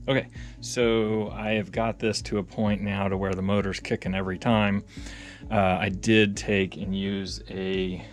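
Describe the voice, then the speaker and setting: A man giving casual, hands-on repair commentary, rambling and thinking aloud in a folksy way. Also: deep voice. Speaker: audio repair vlogger.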